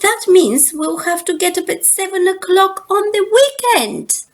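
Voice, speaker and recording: A woman voicing an excitable, easily upset young bear cub in a storybook, exclaiming dramatically. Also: very high-pitched, measured pace, pitched above the performer's own voice, full character voice.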